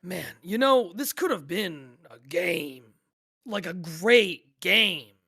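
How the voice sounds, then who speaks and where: Male actor as dejected game obsessive, gravelly fry, unhurried, hesitant, rambling, audio drama table read. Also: high voice, lively intonation, pushed and bright, heavily acted. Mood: wistful, earnest. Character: weary dejected man, obsessive player of a very long game.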